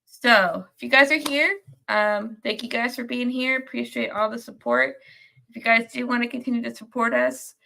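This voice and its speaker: A woman's voice: a little high, steady tone, normal speed, creaky, pushed and bright. A young woman hosting a sports fan post-game show while sick, sounding nasal and congested, rambling casually with many fillers. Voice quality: nasal from a cold. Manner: rambling, many ums and restarts, reads viewer comments. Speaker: podcast host. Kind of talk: casual live-stream chat.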